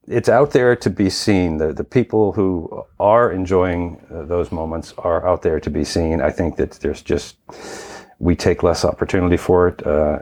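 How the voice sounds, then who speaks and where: A man with a very low voice talks through a story in casual podcast chat. He leads the group toward wrapping up. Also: a little mellow. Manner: storytelling, wraps up discussion.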